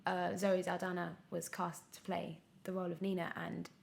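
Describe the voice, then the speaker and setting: A woman in her twenties chatting casually as an interview guest, candid and animated, often correcting herself mid-thought. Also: on the low side, normal speed, creaky. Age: 20s. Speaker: guest, young actor.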